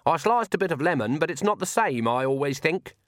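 Man voicing a composed old witch, pitched up. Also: animated, a little fast, dry recording, clean studio sound, lightly acted. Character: calm, imperious old witch woman.

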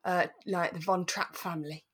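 A woman's voice: on the low side, steady tone, normal speed, fairly bright tone, husky. Chatty, giggly British woman. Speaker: podcast co-host. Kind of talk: relaxed chat about a radio drama.

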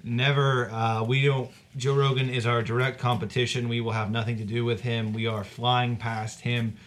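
A man's voice: mid-range voice, flat delivery, normal speed, a bit bright. A man co-hosting a comedy podcast, talking in an opinionated, casual way. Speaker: podcast co-host.

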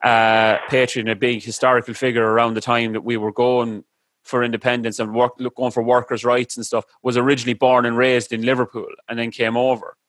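A man's voice: mid-range voice, little pitch movement, fairly quick, a bit bright, no echo. A man with an Irish accent, chatting on a podcast in a rambling way with lots of fillers. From Ireland. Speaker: podcast host. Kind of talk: casual chat.

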